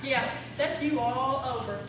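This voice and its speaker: Sassy, folksy townswoman, played by an actress, high voice, teasing comic delivery, distant echoey noisy hall. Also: a little slow. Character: sassy small-town woman swapping jokes about her town. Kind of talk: live comic radio-play acting.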